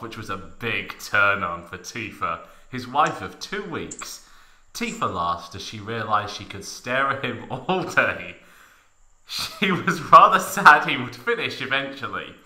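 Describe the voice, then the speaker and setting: A young man narrates in a mock-dramatic style with exaggerated emphasis. His voice is very bright and forceful, swinging widely in pitch, and it rings in an echoey room. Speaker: podcast narrator. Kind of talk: mock-dramatic comic reading of fan fiction.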